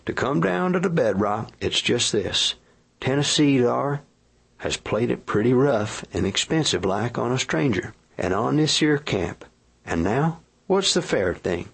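A man voicing a rough, earnest gold miner who speaks in a folksy frontier dialect as he pleads for his partner. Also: mid-range voice, pitched above the performer's own voice, exaggerated voice. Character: rough earnest male gold miner, awkward and plain-spoken.

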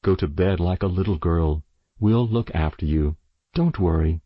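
A man voicing a firm but gentle father with a measured, soothing manner in a story reading. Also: low-pitched, big pitch swings, husky, no room sound, highs cut off, exaggerated voice.

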